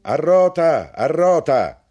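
A man reading a story aloud voices a young, wiry knife grinder who shouts in a slightly hoarse, mocking voice. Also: fairly high pitch, sing-song, slow pace, muffled recording, faint music behind, voice pushed up. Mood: shouting.

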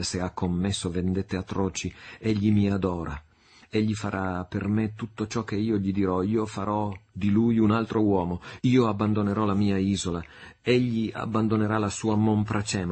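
A man reading aloud, voicing a passionate young noblewoman in an audiobook, with a husky voice and impassioned, climbing delivery. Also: on the low side, a little mellow.

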